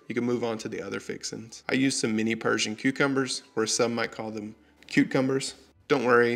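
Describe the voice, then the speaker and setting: A man in his thirties calmly walking through a recipe step by step as a cooking channel host, recorded in a home kitchen on a studio mic. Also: lowish voice, vocal fry. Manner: friendly, measured instructional delivery. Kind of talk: scripted recipe walkthrough. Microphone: studio mic on stand in frame.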